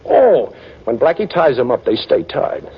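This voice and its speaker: Unflappable adventurer hero, breezy and sardonic, animated, slightly rough voice, vintage muffled radio drama. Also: male voice, a little high, a little slow, exaggerated voice. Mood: commanding, cocky. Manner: breezy, sardonic quips under threat. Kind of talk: 1940s radio detective drama acting. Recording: muffled 1940s radio recording.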